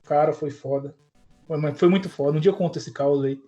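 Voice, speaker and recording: A man's voice: fairly high pitch, fairly quick, warm tone, muffled recording, soft background music. A man with a Brazilian Portuguese accent co-hosting a podcast, chatting casually with lots of slang and fillers. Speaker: podcast co-host.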